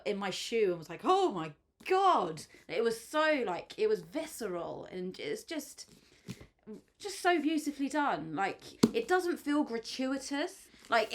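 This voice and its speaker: A woman hosting a book channel, giving a gushing, chatty spoken review with frequent ums and likes. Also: mid-range voice. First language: English.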